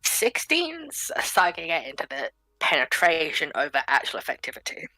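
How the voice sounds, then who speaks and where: A woman's voice: sits low, very animated, moderate pace, sharp tone, no room sound, soft background music, low-quality recording. A woman arguing insistently in a casual technical debate as one of a panel. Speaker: panelist in a group discussion.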